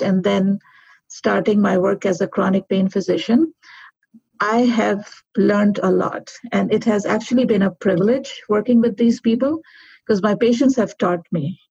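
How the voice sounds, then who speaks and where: A middle-aged woman from Pakistan, a physician answering interview questions in an earnest, reflective way with pauses and restarts. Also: medium pitch, vocal fry, darkish tone, husky, no room sound. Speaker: guest physician. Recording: dull lo-fi sound.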